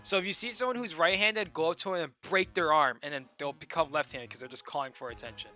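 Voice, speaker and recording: Young male game streamer, joking and excitable, slightly high voice with a sharp, bright tone. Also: quick pace, faint music behind. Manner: excitable, joking, swings between shouting and muttering. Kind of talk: casual gameplay commentary.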